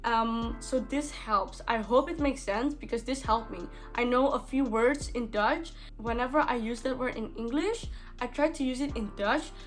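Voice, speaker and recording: A woman's voice: on the high side, moderate pace, few pauses, soft background music. A young woman from Indonesia with an Indonesian accent, talking casually to camera from a small bedroom, full of "like" fillers and restarts. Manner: chatty, many "like" fillers and restarts. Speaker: vlogger.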